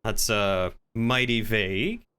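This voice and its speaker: A man's voice: fairly low pitch, takes it slowly, sharp tone, clean tone. A man improvising in-character roleplay chat as a courteous, folksy tavern keeper in an online game.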